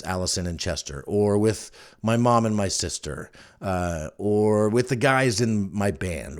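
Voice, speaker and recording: Male podcast host, middle-aged, low voice, loose rambling conversational delivery. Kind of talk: casual solo recommendations chat.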